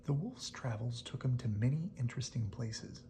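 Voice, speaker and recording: A storyteller reading a picture book aloud in a hushed bedtime style, often dropping to a whisper. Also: mid-range voice, expressive, moderate pace.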